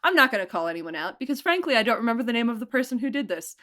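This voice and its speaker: A woman producer of an audio drama, chatting casually in an interview, animated and laughing often. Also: very fast. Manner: animated, laughs often, jumps up high in pitch for emphasis.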